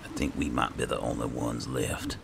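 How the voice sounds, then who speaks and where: A man with a gravelly voice giving casual, low-key commentary while playing a fantasy game, musing aloud as he goes. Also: little pitch movement, normal speed, noisy background. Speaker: gaming host. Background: game ambience.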